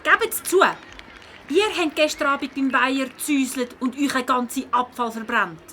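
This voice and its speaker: A woman's voice: high-pitched, moderate pace, a little hiss or hum. A woman voicing a curious, feisty schoolgirl cat in a children's audio drama, speaking expressively with a Swiss German accent. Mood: accusing. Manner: expressive, inquisitive.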